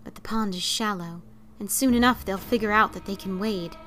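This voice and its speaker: Female narrator for spooky short fiction, medium pitch, dramatic storytelling over soft background music. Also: moderate pace. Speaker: story reader. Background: faint music.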